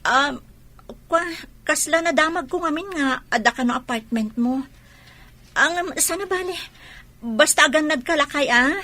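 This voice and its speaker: A woman's voice: high voice, moderate pace, pauses often, pushed and bright. An actress playing an anxious, suspicious soldier's wife in an Ilocano radio drama, pointed and plaintive as she questions.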